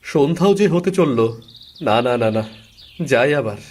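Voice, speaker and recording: A man's voice: medium pitch, expressive, measured pace, a little mellow. A man voicing a harried, exasperated young village husband in a Bengali cartoon, grumbling and exclaiming. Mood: fretful.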